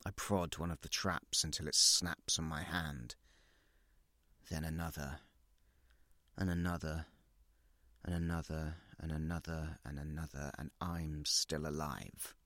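A man's voice: a bass voice, flat delivery, measured pace, sharp tone, no echo, heavily acted. A man reading a dramatic first-person story as a guilt-ridden, unravelling, well-off narrator, confessional and intense in short clipped phrases. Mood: numb, defeated.

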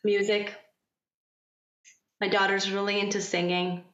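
A woman whose first language is Farsi, a podcast guest talking in a thoughtful, gentle way and laughing easily. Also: medium pitch, level tone, unhurried, reverberant, dull audio. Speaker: podcast guest, parent coach. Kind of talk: casual interview chat.

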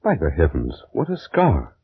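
Animated, deep-voiced ancient priest. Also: male voice, moderate pace, heavily acted. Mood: awed. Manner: theatrical, exclamatory. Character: awestruck, eager ancient Egyptian priest, a trusted friend. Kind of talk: 1940s radio drama acting. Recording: noisy tinny 1940s radio recording.

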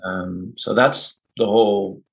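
A man's voice: on the low side, fairly even intonation, slow pace, creaky, low-quality recording. A man, a non-native English speaker, being interviewed as an intercultural communication consultant and explaining ideas through examples and tag questions. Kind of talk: conversational interview.

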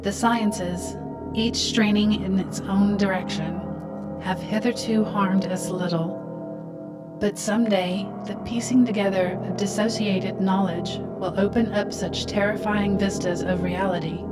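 A woman playing a cold, formal machine intelligence in a radio play, speaking in clipped, solemn, measured announcements. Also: medium pitch, steady tone, close to an everyday voice. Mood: solemn, ominous. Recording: loud music bed. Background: loud music.

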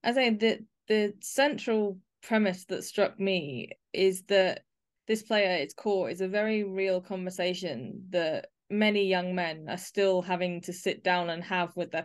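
A woman's voice: fairly low pitch, a little slow. A woman speaking as the host of an arts podcast, interviewing and presenting.

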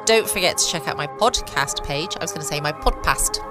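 A woman's voice: low-pitched, animated, fairly quick. A woman chatting casually as host of a bedtime podcast. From United Kingdom. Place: at home. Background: music.

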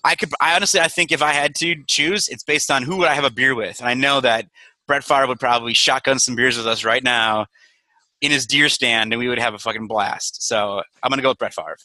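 A man's voice: medium pitch, a little fast, pushed and bright, no room sound, rough recording. A man from Wisconsin who owns a brewery, talking candidly and energetically on a video call, swearing now and then. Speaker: brewery owner. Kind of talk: casual video call chat.

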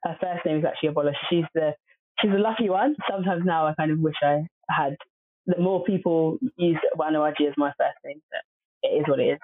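A woman's voice: deep voice, brisk. A woman guest on a podcast, chatting casually and hesitantly, with many fillers. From family from southern Nigeria. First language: Obolo. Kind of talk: casual interview chat. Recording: dry, phone-like lo-fi audio.

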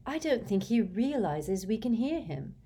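An earnest young girl, read by a woman, speaking tentatively and pleading gently. The tone is close to a plain storybook reading voice with lively pitch. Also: a little slow, breathy edge, exaggerated voice.

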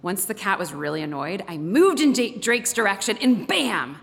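A woman's voice: medium pitch, lively intonation, normal speed, gravelly, fairly bright tone. A woman reading a story aloud expressively, with dramatic emphasis, as a guest reader. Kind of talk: expressive read-aloud storytelling in first person as an animal. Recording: long hall reverb.

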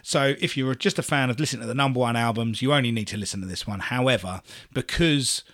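A man's voice: medium pitch, quick pace, a bit bright. A man in his forties, a podcast co-host losing his voice, chatting casually and swearing now and then. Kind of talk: casual chat.

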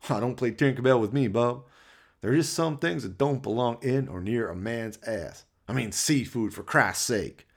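A man's voice: medium pitch, normal speed, vocal fry. A man voicing a smug, sleazy gang leader with cocky, mocking taunts in a comic radio play. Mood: taunting.

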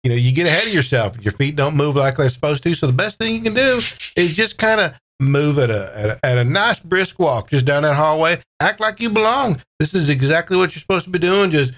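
A man's voice: a little high, expressive, brisk, fairly bright tone. A man playing an easygoing Texan character with a folksy, rambling drawl in a tabletop roleplay. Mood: folksy, confident.

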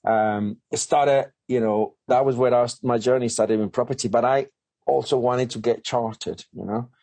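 A man's voice: mid-range voice, steady tone, moderate pace, mellow, no room sound. A man telling his own story as a guest in an interview, in a chatty way with many fillers and restarts. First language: not English. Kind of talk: conversational interview.

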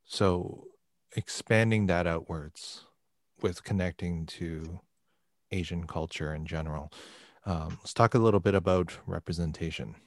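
A deep-voiced man hosts a podcast, asking his guest questions in a measured and articulate way. His voice is heard close and dry, with no room sound around it. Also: dry recording. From Canada. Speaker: podcast host. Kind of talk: interview questions.

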